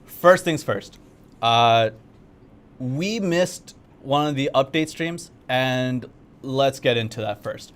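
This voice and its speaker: A young man co-hosting a live game event stream, upbeat, talkative and easily excited. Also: medium pitch, slow pace, clean tone. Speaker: game community manager. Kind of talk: casual livestream co-hosting. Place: studio in Korea.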